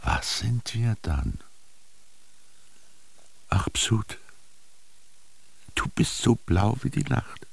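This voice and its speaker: An actor in a radio drama playing a melancholy, yearning ancient Egyptian man recalling his youth, speaking lyrically in a gravelly, husky voice with lingering pauses. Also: sits low, full character voice. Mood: sorrowful, intense, then tender, hushed. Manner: lyrical, brooding, with rhetorical questions and lingering pauses. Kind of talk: literary radio drama monologue.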